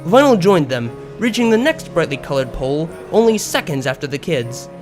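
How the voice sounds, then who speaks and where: A man reading a story aloud as an expressive narrator, in scripted prose. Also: on the high side, moderate pace. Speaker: narrator of a fan fiction story. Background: background music.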